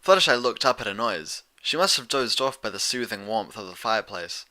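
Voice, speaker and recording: A man's voice: lowish voice, expressive, a little fast, music in the background. A man reading scripted story narration as the narrator. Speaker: narrator and reader.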